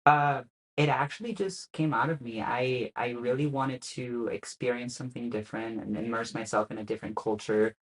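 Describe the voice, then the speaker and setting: A young man speaks at an ordinary middle pitch. He talks casually and a little haltingly, with plenty of "uh" fillers between his thoughts. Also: rough recording. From Spain. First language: Spanish. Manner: relaxed, hesitant with frequent "uh" fillers and soft laughs. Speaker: guest. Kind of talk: casual interview chat.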